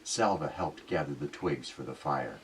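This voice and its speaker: A man narrating an audiobook dramatically, with a coached South Sudanese Dinka accent and a slightly husky voice. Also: rumbling low, moderate pace, a little hiss or hum.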